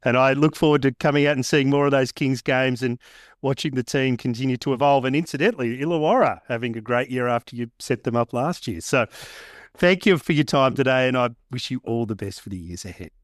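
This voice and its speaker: Podcast host, polished outro voice. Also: male voice, mid-range voice, a little fast, no room sound. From Australia. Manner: polished, thanking and summing up. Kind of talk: interview wrap-up and outro. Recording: dry close mic, faint music behind.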